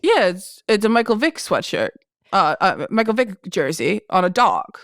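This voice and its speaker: A woman hosting a podcast, chatting playfully with quick teasing reactions. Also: mid-range voice, sing-song, dry recording, studio quality. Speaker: podcast host. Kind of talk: casual chat.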